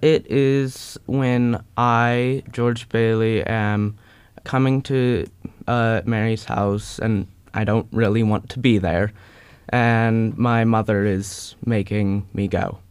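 Halting teenage boy, clear voice. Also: on the low side, fairly even intonation, no echo. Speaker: cast member being interviewed. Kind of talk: casual interview answers.

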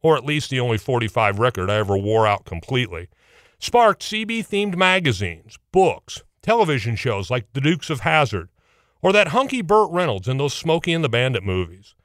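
A man's voice: medium pitch, sing-song, moderate pace, fairly bright tone, dry recording, studio quality. An American man hosting a history program, delivering a scripted essay in a folksy, wry, emphatic way. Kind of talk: scripted radio essay narration.

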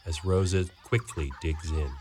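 A man's voice: low-pitched, fairly even intonation, measured pace, dark tone. A man reading scripted present-tense stage directions as the narrator of a radio play. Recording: noisy radio studio recording.

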